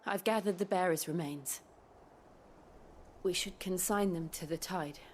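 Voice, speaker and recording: An actress playing a calm, solemn young noblewoman with a soft, breathy voice in a game cutscene. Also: fairly low pitch, measured pace, slight background noise, natural voice. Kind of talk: dramatic video game cutscene acting.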